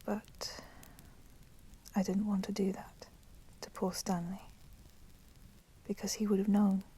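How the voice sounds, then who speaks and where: A woman voicing a proud, resentful female vampire in a whispered ASMR roleplay, speaking softly and deliberately in formal, menacing phrases. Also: medium pitch, level tone. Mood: reluctant, pitying. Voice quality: soft, breathy whispering. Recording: constant crackling fire noise behind voice. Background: crackling fire.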